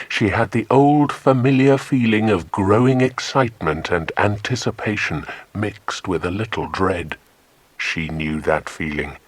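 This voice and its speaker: A man serves as the narrator of a children's adventure story. His voice is low and noticeably husky, captured very dry and close. He reads scripted prose in a storytelling style. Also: dry recording.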